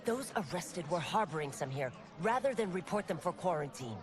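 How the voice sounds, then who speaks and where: A woman's voice: on the low side, animated, normal speed, music in the background, close to an everyday voice. A woman playing a blunt, dutiful guard constable in a video game. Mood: defensive. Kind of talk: cinematic video game acting.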